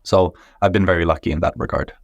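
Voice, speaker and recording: A man's voice: low-pitched, steady tone, quick pace, gravelly, dry recording, muffled recording. A man from Sweden whose first language is Swedish, a startup founder answering interview questions thoughtfully, with many fillers and restarts. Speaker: guest, tech startup founder.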